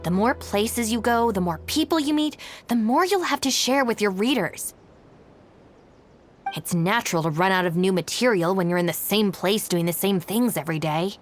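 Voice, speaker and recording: A woman's voice: mid-range voice, lively intonation, normal speed, soft background music, natural voice. A woman voicing a composed, practical and blunt young woman in a video game, speaking articulately and matter-of-factly. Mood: measured, advising. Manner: matter-of-fact, articulate, cool-headed.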